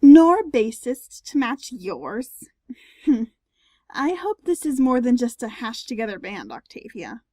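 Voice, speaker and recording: Woman acting a slow, playful unicorn musician. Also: on the high side, very animated, mellow, no echo, full character voice. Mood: playful banter. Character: playful, bantering female unicorn harpist. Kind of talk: audiobook character acting.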